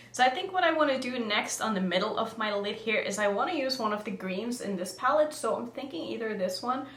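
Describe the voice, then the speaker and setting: A woman's voice: fairly high pitch, lively intonation, brisk, fairly bright tone. A young woman from Norway, a native Norwegian speaker, chatting casually and giggling as she thinks aloud through a makeup tutorial. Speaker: makeup vlogger. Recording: echoey room.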